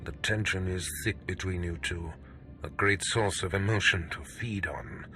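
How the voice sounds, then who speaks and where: An actor playing a stern, scheming Sith lord in a video game, speaking in a measured, imperious, menacing manner. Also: male voice, low voice, sharp tone, soft background music. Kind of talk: scripted video game drama.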